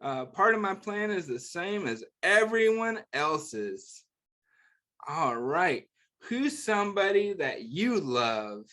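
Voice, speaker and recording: Man, higher-than-average voice, very unhurried delivery, children's picture-book reading, encouraging, simple instructive phrasing. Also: sing-song, pushed and bright. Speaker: children's story time reader and host. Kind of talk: reading a picture book aloud to children, with chatty asides and questions.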